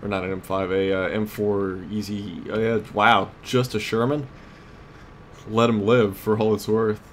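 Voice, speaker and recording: Low-voiced man commentating on a video game. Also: level tone, unhurried. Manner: thinks aloud in short tactical remarks. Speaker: gamer, host of a let's play series. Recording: clear background game noise. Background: vehicle and battle sounds of a tank game.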